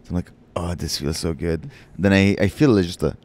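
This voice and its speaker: A man in his forties with a fairly low voice tells a funny story on a podcast. He speaks into a handheld mic from a living-room couch and acts out the lines he quotes, swinging into playful voices. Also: normal speed, a little hiss or hum. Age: 40s. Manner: animated storyteller, acts out quotes with big voice jumps. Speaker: podcast co-host. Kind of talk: casual comedic chat.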